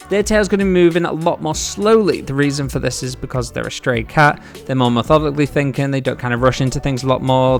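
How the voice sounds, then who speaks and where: Chatty guy doing a devlog, highish gravelly voice, music playing behind him. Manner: informal, chatty, step-by-step explaining. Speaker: solo game developer and devlog host. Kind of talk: casual narrated video devlog.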